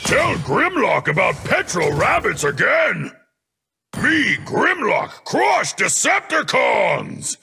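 Big dumb robot dino, gravelly shouty growl, brags in caveman talk, game voice w bit of music. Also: very high voice, huge pitch swings, takes it slowly, full character voice. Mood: boastful, combative. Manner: caveman-like broken grammar, blunt, bellowing. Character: brash, dim, boastful male robot dinosaur brawler. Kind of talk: video game character lines.

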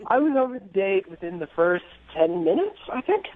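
A man's voice: high-pitched, big pitch swings, fairly quick, narrow, tinny audio. Exasperated male radio phone-in guest, swooping intonation, casual comic storytelling. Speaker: phone-in guest recounting a bad date.

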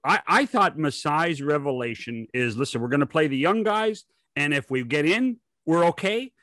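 A man's voice: on the high side, expressive, moderate pace, sharp tone, dry recording. A man in his sixties co-hosting a sports debate, insistent and interrupting, speaking into a close desk microphone. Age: 60s. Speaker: podcast co-host. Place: indoor study, video call. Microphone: desk microphone close to mouth.

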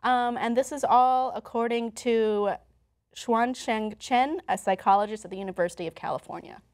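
A woman's voice: fairly high pitch, moderate pace, a little mellow. A young woman presenting conversationally from notes as co-host of a talk show, recorded in a studio. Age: young adult. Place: TV-style studio, indoors.

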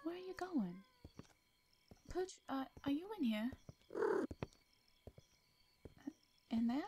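A woman playing a caring, protective young female farmer in a roleplay audio drama, with a Southern US accent and a soft, hesitant, folksy way of talking. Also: high voice, lively intonation, moderate pace, slight background noise. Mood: searching, worried.

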